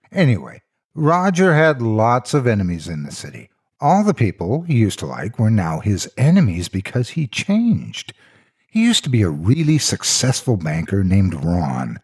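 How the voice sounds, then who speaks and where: Male narrator, husky, lowish pitch, very animated swings, theatrical, mock-serious, scripted storytelling. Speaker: narrator of horror stories.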